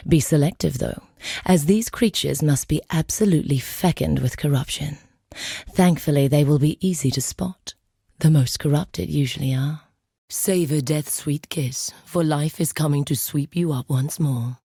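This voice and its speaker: A woman playing a commanding, coldly seductive necromancer villainess in a video game, speaking in a grandiose, deliberate, menacing way. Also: deep voice, vocal fry, warm tone, husky, dry recording, clean studio sound, exaggerated voice. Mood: seductive, solemn.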